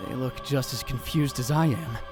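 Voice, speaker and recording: A man's voice: medium pitch, moderate pace, close to an everyday voice. A man playing an anxious, dramatic young conspiracy theorist who narrates a visual novel in a theatrical, self-questioning way. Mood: stunned, puzzled. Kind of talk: first-person visual novel narration, acted. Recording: music bed under the voice. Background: background music.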